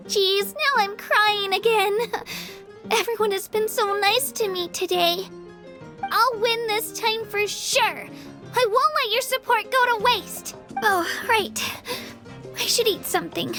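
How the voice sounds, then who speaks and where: A tiny earnest game girl, super high bright voice, anxious but determined, music behind. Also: exaggerated voice. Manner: halting, tearful and earnest. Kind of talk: video game voice acting.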